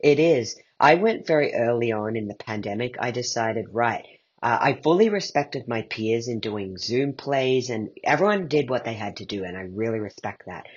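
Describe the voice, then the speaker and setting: A man's voice: mid-range voice, expressive, normal speed, breathy edge. A man speaking as an interview guest, reflecting thoughtfully and voicing questions to himself as he talks. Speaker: guest, playwright and podcast creator. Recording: dull, muffled lo-fi sound.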